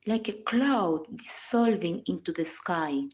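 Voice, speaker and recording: A woman reading a scripted guided meditation in a calm, measured, instructional manner. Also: lowish voice. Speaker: psychologist guiding a meditation.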